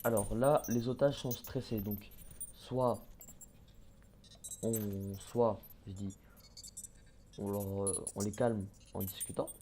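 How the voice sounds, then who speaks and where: A man's voice: fairly low pitch, fairly even intonation, slow, pauses often, warm tone. A young man giving casual let's-play commentary, hesitant and full of fillers, muttering to himself as he plays. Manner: hesitant, many fillers and restarts, mutters while playing. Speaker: gaming YouTuber. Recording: faint background noise.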